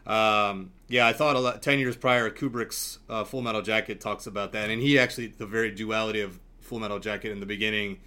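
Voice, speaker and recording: A man talking casually and enthusiastically in a film discussion, in a halting, rambling way. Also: on the low side, fairly even intonation, pushed and bright. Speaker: podcast panelist.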